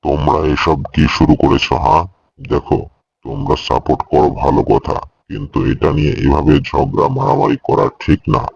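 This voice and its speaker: A man's voice: rumbling low, big pitch swings, brisk, gravelly, darkish tone, husky, full character voice. A man voicing a stern jungle elder in a cartoon, admonishing, in muffled audio. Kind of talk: Bengali cartoon voice acting.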